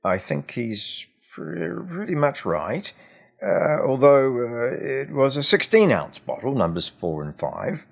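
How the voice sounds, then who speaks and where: A man voicing a flustered, hesitant male company employee in a story, halting and stammering. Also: medium pitch, sing-song, gravelly, voice pushed up, heavily acted.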